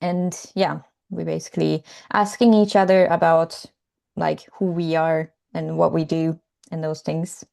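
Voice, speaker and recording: Young woman, lowish mellow voice, vocal fry, cheerful and giggly, podcast co-host. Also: level tone, unhurried. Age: young adult. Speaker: podcast co-host, language teacher. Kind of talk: casual chat.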